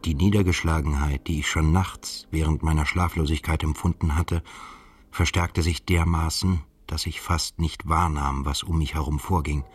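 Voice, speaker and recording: An actor playing a melancholy journalist who narrates his own story in a measured, introspective way in a radio drama. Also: very deep voice, steady tone, breathy edge. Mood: somber, heavy. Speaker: narrator and protagonist. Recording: music under the voice. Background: music.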